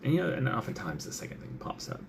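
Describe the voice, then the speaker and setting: A man's voice: mid-range voice, big pitch swings, fast talker, gravelly, slight background noise. A male doctor giving casual advice, chatty, with many fillers, who often acts out what patients and doctors might say.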